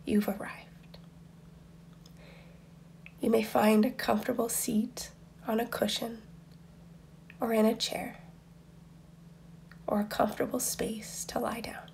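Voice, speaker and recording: Female meditation guide, higher-pitched with creaky edges, soft and breathy, soothing delivery, often close to a whisper. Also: little pitch movement. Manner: soothing, unhurried instruction with long pauses.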